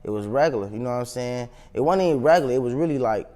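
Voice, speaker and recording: Young chicago guy in a casual interview, normal pitch, lots of slang n cussing. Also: expressive, very fast, mellow. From South Side of Chicago, United States. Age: young adult. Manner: slangy, profane street talk. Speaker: interview guest, rapper.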